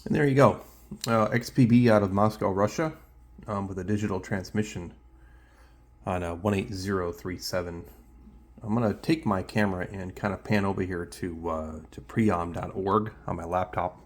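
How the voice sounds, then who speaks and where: A man presenting as a radio hobbyist in a casual, unscripted demonstration, speaking haltingly as he thinks aloud. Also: deep voice.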